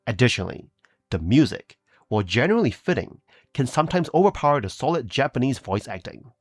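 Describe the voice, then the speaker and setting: A man's voice: mid-range voice, sing-song, brisk, slightly rough, no echo, studio quality. A man narrating scripted video game reviews as a reviewer, with a polished, promotional delivery.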